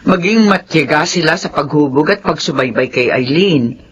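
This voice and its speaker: Casting: man as elderly grandfather for radio drama, high animated voice, earnest and advising. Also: moderate pace, dull audio, a little hiss or hum, close to an everyday voice. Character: old grandfather, earnest and exacting about his granddaughter.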